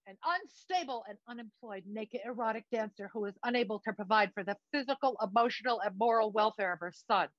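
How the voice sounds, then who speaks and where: A woman's voice: on the high side, a little fast, few pauses, no room sound, muffled recording, close to an everyday voice. Woman playing a blunt, defiant young son. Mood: mocking recital. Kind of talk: theatrical monologue, acted dialogue.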